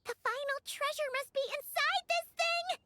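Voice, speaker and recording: A woman voicing a tiny, chatty, excitable childlike fairy companion in an animated video game. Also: extremely high pitch, normal speed, very noisy. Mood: excited. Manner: exclamatory, speaks of herself by name.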